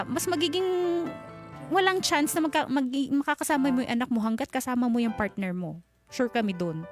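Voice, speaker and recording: A Filipino woman hosting an advice show, speaking bluntly and scolding as she checks that the listener agrees. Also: a little high. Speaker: radio advice show host. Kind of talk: frank advice to a caller. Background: background music.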